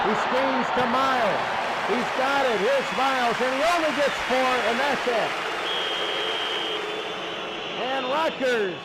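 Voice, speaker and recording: A man calling live football play-by-play as a sports announcer. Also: sits high. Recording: loud crowd noise behind voice. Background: loud stadium crowd.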